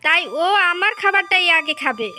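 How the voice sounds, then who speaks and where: A woman plays a jealous fisherman's wife in a cartoon fairy tale. The voice is extremely high and piercingly bright, insistent and argumentative, with music underneath. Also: animated, moderate pace, exaggerated voice. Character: jealous, bickering village wives of a fisherman.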